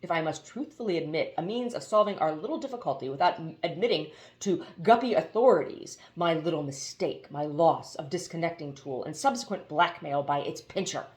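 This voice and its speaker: A woman's voice: low voice, a little fast, few pauses, roomy sound, natural voice. A woman voicing a proud, fussy male water genie in a storybook, talking in wordy, coaxing strings of phrases. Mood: soothing, explaining. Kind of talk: storybook character voice.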